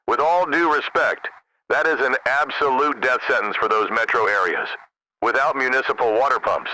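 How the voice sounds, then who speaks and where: A man's voice: mid-range voice, bright, forceful tone, no echo, poor audio. A man playing an urgent army general in a scripted crisis drama, with insistent, formal military delivery. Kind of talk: scripted radio drama dialogue.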